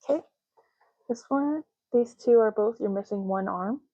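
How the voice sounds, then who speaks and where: A woman speaks softly in an ASMR roleplay, with a warm, mellow tone. She explains things gently in short, unhurried phrases, like a patient clerk going through options. The recording is a little dull. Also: a little high, breathy edge, dry recording. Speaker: ASMR creator playing an ID card clerk. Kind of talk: ASMR roleplay, soft-spoken.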